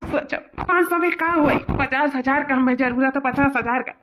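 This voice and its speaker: A woman's voice: sits high, moderate pace, natural voice. A woman voicing a melodramatic, wheedling young male lover in a cartoon comedy, repeating phrases with an eastern Uttar Pradesh accent. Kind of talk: cartoon family comedy acting. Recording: tinny, phone-like narrow audio.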